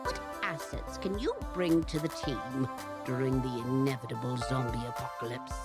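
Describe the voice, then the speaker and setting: A woman's voice: a bass voice, normal speed. A woman playing a cheery, bawdy older widow who runs an arcade in a visual novel game, doting and theatrical. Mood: quizzing. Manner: doting, theatrical, addresses listener as dear. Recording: game music behind.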